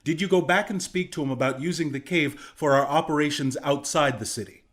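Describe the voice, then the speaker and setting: A man's voice: fairly high pitch, fairly quick, a bit bright, lightly acted. A young man playing an earnest, plainspoken griffin rebel and former soldier in a video game. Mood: measured, strategic. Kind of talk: video game character dialogue.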